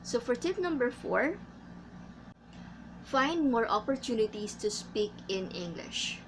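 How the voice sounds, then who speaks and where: A woman from the Philippines with a Filipino accent, an English teacher giving tips in a casual vlog, speaking carefully with many pauses and fillers. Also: fairly high pitch, lively intonation, noisy background. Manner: slow and careful, frequent uh fillers.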